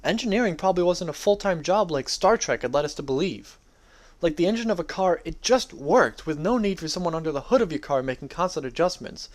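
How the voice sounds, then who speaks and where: A man's voice: a little high, expressive, a little fast. Young man reading a story aloud. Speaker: author reading own story. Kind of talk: dramatic story reading, first-person narration.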